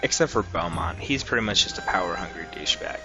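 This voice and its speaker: A man's voice: low voice, lively intonation, quick pace. A man narrating scripted video game commentary as a reviewer, with music in the background.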